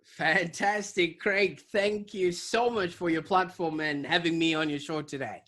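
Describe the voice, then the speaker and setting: A man from Zimbabwe, an interview guest telling his story in a lively, animated way over a video call. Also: on the high side, measured pace. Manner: animated, vivid storyteller with repetitions. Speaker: guest, digital marketing business owner.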